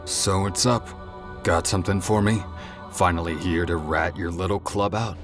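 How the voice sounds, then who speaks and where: A man's voice: deep voice, steady tone, normal speed, music in the background, close to an everyday voice. A man playing a cocky, crude, flamboyant antihero god in an audio drama, swaggering, sarcastic and teasing. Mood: brash. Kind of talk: audio drama character acting.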